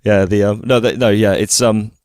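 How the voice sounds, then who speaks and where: A man hosts a podcast with a lowish voice, chatty and quick to laugh. The sound is dry and close, with no room in it. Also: fairly even intonation, a little mellow, no room sound. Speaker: podcast host. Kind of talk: casual podcast conversation.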